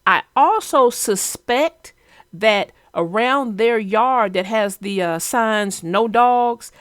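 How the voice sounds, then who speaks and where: A woman's voice: a little high, animated, takes it slowly, no room sound, studio quality. A woman hosting a children's read-aloud show, chatting about a story with young viewers.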